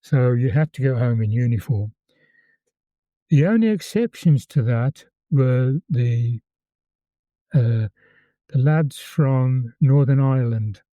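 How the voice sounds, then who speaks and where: A man in his eighties with a Birmingham accent, calmly recalling old times as an interview guest and often repeating words as he tells his story. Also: mid-range voice, slow pace, long pauses, no echo, rough recording. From England. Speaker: interview guest, former conscript. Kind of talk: reminiscing in conversation.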